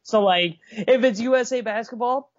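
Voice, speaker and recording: A man's voice: extremely high pitch, normal speed, dry recording. A man co-hosting a casual wrestling review podcast, brash and teasing, often laughing as he talks. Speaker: podcast co-host. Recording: dull, dry recording.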